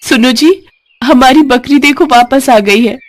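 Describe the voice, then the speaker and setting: Woman playing a high-pitched, emotional village wife. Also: a little slow, no room sound. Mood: anxious relief. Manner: pleading, emotional. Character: worried, devoted poor village wife, plain-spoken, excitable.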